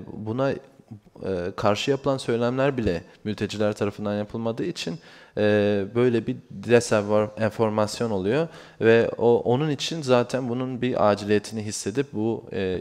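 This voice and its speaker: Very low-voiced man interpreting at a panel. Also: darkish tone. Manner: hesitant, many drawn-out fillers. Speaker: interpreter and coordinator.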